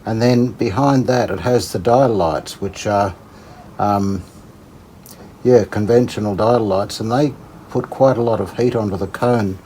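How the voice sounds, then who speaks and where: A man giving casual hands-on repair commentary, unhurried and tentative, as if thinking aloud. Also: on the low side, mellow, slight background noise. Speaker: host of a radio repair channel.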